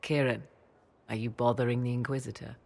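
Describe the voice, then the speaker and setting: An actress playing an aloof, mysterious sorceress and protective mother in a video game, speaking in measured, archaic, theatrical phrases. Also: rumbling low, little pitch movement, a little mellow. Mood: wry, composed. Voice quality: husky, creaky. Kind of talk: dramatic video game dialogue.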